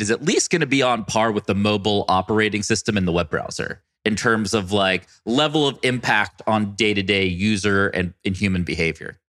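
A man's voice: lowish voice, fairly bright tone. A male podcast co-host chatting casually in an emphatic, informal way. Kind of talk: casual chat.

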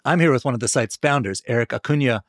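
A man's voice: medium pitch, fast talker, no room sound, clean studio sound. A man with an American accent hosting a podcast in a conversational style. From Washington, D.C., United States. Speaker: podcast host.

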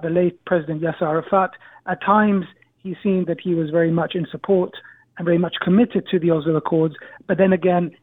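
A man, a guest professor answering interview questions in a measured way, pausing often with "uh". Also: high-pitched, dry recording. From Israel. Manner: measured, frequent "uh" pauses. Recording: tinny phone-like remote line.

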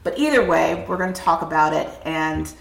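A woman's voice: deep voice, lively intonation, moderate pace, gravelly, echoey room, low-quality recording. A woman hosting a podcast, sounding a bit nasal as she gets over a cold, talking in an upbeat, chatty way. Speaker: podcast host. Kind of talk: podcast intro and roundtable chat. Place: table at a film festival.

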